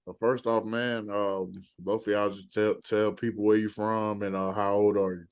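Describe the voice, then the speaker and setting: A man's voice: lowish voice, level tone, normal speed, smooth, no room sound, clean studio sound. A man hosting a podcast, asking plain questions with fillers. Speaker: podcast host. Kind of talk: interview questions.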